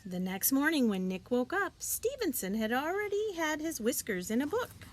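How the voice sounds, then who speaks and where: A woman reading a children's picture book aloud, expressively and deliberately, as a teacher would for young listeners. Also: high-pitched, very animated, slight background noise. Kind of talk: children's storybook read-aloud.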